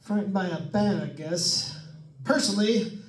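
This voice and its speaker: A high-voiced actor playing a nervous man stuck in a haunted house, rambling and joking to listeners as in an old radio thriller. The sound is echoey and set well back from the mic. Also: measured pace. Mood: uneasy, chatty. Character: jittery wisecracking man alone in a haunted house. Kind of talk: old-time radio suspense drama acting. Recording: echoey room, distant mic.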